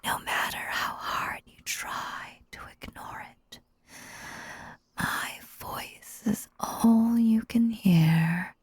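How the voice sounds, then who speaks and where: A woman playing a sinister, possessive stage magician in an intimate audio roleplay, theatrical and coaxing, with a breathy voice that often slips into whispers. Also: on the high side, dry recording, exaggerated voice. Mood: hypnotic, whispery. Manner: theatrical, coaxing, drawn-out phrasing with dramatic pauses.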